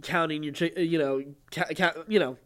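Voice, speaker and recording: A man's voice: on the high side, fairly even intonation, moderate pace, a bit bright. A man co-hosting a podcast, casually discussing film news and often restarting his phrases. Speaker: podcast co-host.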